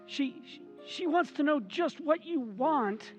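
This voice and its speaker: High-pitched, timid henpecked husband, played by a woman. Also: animated, slow, dull audio, soft background music. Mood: terrified. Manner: stammering, hesitant, pleading. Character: timid henpecked husband, fearful, meek, simple little man. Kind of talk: old-time radio horror acting.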